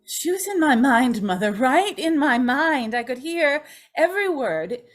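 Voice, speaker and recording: A woman's voice: high voice, sing-song, measured pace, faint music behind, full character voice. A woman playing a fragile, frightened young woman in an old-time radio melodrama, pleading and tremulous. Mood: distraught. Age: young adult.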